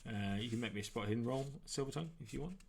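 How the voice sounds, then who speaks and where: A man narrating a tabletop role-playing game as game master, describing things in a halting, improvised, conversational way. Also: medium pitch, creaky, slight background noise.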